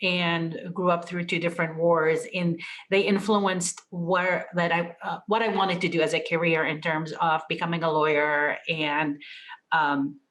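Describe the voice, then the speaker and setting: Lebanon-born woman lawyer on a video call; slightly low voice, friendly and measured. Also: level tone, fairly bright tone. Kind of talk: online panel introduction.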